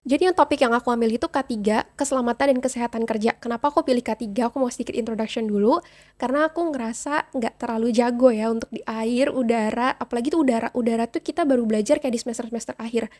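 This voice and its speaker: A woman's voice: fairly high pitch, mellow. A young woman vlogging casually to camera, a little nasal and congested from a cold, chatting and slipping in English phrases. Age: young adult. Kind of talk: casual vlog chat to camera.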